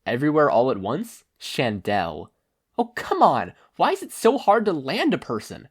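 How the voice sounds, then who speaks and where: Man voicing an excitable, high-pitched boy hero. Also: normal speed, breathy edge, full character voice. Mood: exasperated. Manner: excitable, playful. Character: cheeky, curious, upbeat teenage boy hero.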